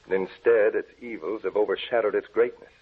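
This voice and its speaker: An actor playing a brooding, obsessed scientist with a grave, melodramatic delivery in an old-time radio drama. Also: male voice, fairly low pitch, steady tone, brisk, breathy edge. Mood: grim resolve. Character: brooding, obsessed male scientist plotting to kill his beloved. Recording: muffled old radio recording.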